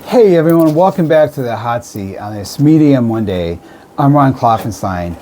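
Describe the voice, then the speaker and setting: A man hosts a casual radio interview. His voice is mid-pitched and relaxed, with a soft, dark edge. Some noise sits behind him. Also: normal speed. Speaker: radio show host.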